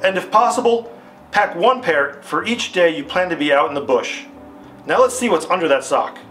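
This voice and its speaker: A man's voice: fairly high pitch, animated, normal speed, a bit bright. An American man in his thirties playing an authoritative army captain, giving formal, scripted instruction in a retro military training film. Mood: instructive, assured. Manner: formal, didactic, stilted. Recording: music under the voice. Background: background guitar music.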